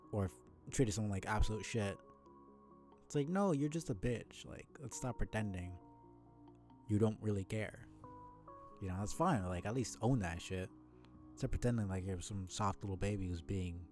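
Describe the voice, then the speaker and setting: A man's voice: on the low side, darkish tone. Blunt, sweary man commenting casually on stream. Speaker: streamer reading a webcomic aloud.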